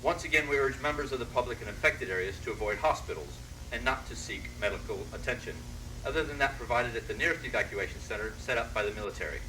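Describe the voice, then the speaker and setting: Far from mic, noisy, guy playing grave health official at a press conference, sober and deliberate. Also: mid-range voice, lightly acted. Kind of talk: formal press statement.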